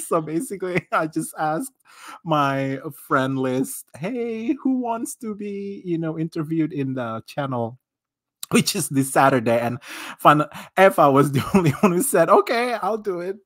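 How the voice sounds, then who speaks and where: Slow, rambling podcast host. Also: male voice, a little high, very animated, fairly bright tone. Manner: rambling, many fillers and restarts, laughs often. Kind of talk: casual podcast chat.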